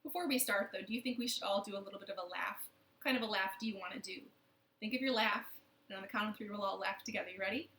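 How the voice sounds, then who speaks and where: A woman's voice: mid-range voice, racing, sharp tone, reverberant, slight background noise. A woman leading a children's storytime from her living room, playful and sing-song with rhymes and silly voices.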